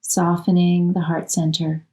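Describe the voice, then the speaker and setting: A woman leading a guided relaxation as a yoga nidra instructor, speaking soothingly in short, unhurried phrases with long pauses. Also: lowish voice, little pitch movement, dark tone, rough recording.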